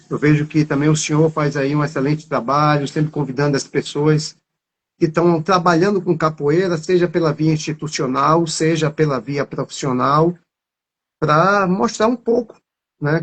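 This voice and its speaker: A man from Salvador with a Bahian accent, talking at length as an interview guest and filling his speech with little tag words. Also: a little high. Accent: Bahian Brazilian Portuguese. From Salvador, Bahia, Brazil. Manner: warm, rambling, many fillers like né and tá. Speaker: capoeira master.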